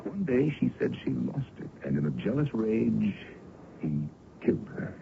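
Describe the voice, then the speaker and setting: An actor playing a confident American colonel in an old-time radio drama, talking in a measured, persuasive way and brushing off superstition. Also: male voice, medium pitch, sing-song, gravelly. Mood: grave, explanatory. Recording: dull, lightly noisy old radio recording.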